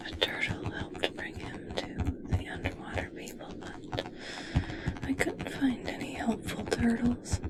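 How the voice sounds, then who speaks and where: A woman playing an obsessed, eccentric scientist in an ASMR roleplay, speaking intimately in a breathy whisper. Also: fairly high pitch, wildly swooping pitch. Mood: wistful. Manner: slow, intimate, wistful storytelling. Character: obsessed, eccentric female human scientist fascinated by merpeople.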